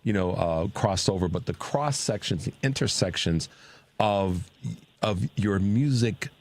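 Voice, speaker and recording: A man hosting a radio interview show in a studio, talking in an excited, casual way with lots of stammered restarts. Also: deep voice, measured pace. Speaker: radio host.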